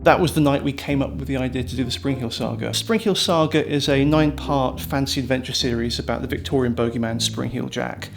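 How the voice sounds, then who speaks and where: Man chatting over clear music. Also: medium pitch, quick pace, few pauses. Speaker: writer, director and producer. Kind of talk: casual interview reminiscence.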